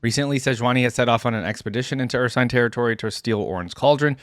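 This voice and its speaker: A man's voice: medium pitch, fast talker, dry recording, clean studio sound. A man hosting a reaction video, reading lore aloud with casual, informal asides.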